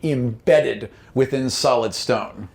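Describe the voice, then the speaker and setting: A man's voice: medium pitch, slow pace, creaky, a little mellow. A man working as game master, narrating a tabletop roleplaying game with vivid, informal storytelling. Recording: music playing behind the voice. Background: music.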